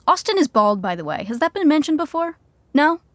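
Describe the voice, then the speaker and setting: A woman's voice: high voice, big pitch swings, fairly quick, slight background noise. A woman playing a wry, chatty narrator who teases along in a comic podcast sitcom. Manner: wry, conversational, teasing.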